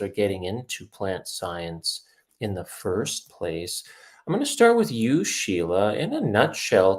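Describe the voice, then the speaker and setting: A man hosting a video interview series, introducing guests in a measured way and correcting himself now and then. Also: mid-range voice. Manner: measured, reads sponsor lists, self-corrects. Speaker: host, editor.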